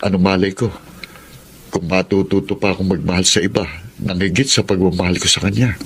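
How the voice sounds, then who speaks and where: A man's voice: low voice, steady tone, a little fast, slight background noise. An actor with a Filipino accent playing an earnest, conflicted young man in a radio drama. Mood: earnest, firm.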